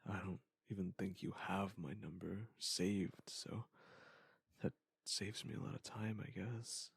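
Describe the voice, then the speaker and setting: A man acts out a wistful ex-lover with a low, gravelly voice, recorded close and dry. The delivery is halting and reflective, like an intimate audio drama confession left as a goodbye message. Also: steady tone, no room sound, full character voice. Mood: nervous, hesitant. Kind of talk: intimate scripted audio monologue.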